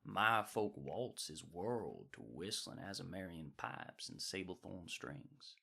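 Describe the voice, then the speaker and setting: A man's voice: low-pitched, big pitch swings, slow pace, vocal fry, sharp tone, breathy edge, voice pushed down, full character voice. A man playing a wandering bard who tells lyrical fantasy tales in florid, poetic phrasing for a radio drama. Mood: wistful, celebratory. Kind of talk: scripted radio drama storytelling.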